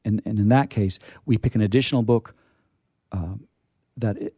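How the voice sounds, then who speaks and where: A man hosting a casual on-air interview in a chatty, halting, wordy way, with playfully grandiose touches. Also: fairly low pitch, dark tone, husky, no room sound. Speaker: radio host. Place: radio studio.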